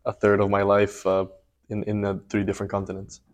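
A man in his twenties, born in Ecuador and raised in Miami, answering interview questions calmly and matter-of-factly. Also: lowish voice, brisk. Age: 20s. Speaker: guest, race car driver.

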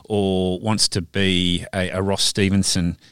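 Chatty male host, low voice. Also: slow, smooth, no room sound, studio quality. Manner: enthusiastic, chatty, animated. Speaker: podcast host. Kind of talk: casual interview talk.

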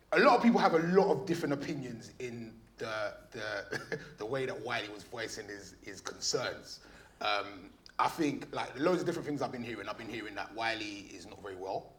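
A man hosting a podcast live on stage, chatty and laughing, with loud bursts to the audience. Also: lowish voice, lively intonation, normal speed, slight background noise. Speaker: podcast host.

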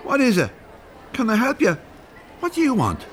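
An actor playing a blunt, teasing older Irish rogue in an audio drama, colloquial and cheeky. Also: male voice, extremely high pitch, wildly swooping pitch, moderate pace, a faint crowd, slight background noise, full character voice. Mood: indignant.

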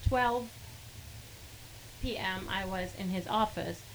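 A woman with an Arabic accent who speaks haltingly, with many fillers, and laughs between sentences as she tells a personal story. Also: mid-range voice, a bit bright, a little hiss or hum, rough recording. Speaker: guest sharing a testimony.

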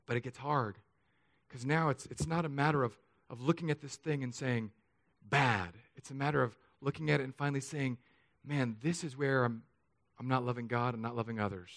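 A man in his thirties from Southern California, preaching a sermon in an earnest, confessional way that swings between soft and emphatic. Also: mid-range voice, a little fast. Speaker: pastor.

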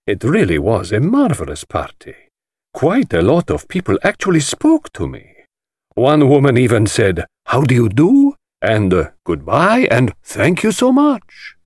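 A man's voice: mid-range voice, huge pitch swings, a little slow, darkish tone, breathy edge, dry recording, clean studio sound, voice pushed up, exaggerated voice. A man voicing a flamboyant, spiteful foreign society host in an audiobook, with an affected, mockingly purring drawl. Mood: arch, amused. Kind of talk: audiobook character voice.

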